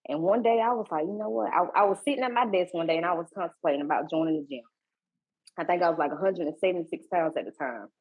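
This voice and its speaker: A woman, a guest on a podcast, talking in a relaxed, casual way with repeats and restarts. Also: on the low side, fast talker, dry recording, narrow, tinny audio. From Georgia, US. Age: 30s. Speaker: guest, fitness coach.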